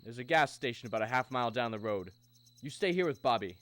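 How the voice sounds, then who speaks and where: A man's voice: medium pitch, expressive, quick pace, fairly bright tone, natural voice. Anxious American family man, radio drama actor. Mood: anxious, reassuring. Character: anxious, earnest American family man who narrates his ordeal. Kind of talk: mid-century American radio drama acting.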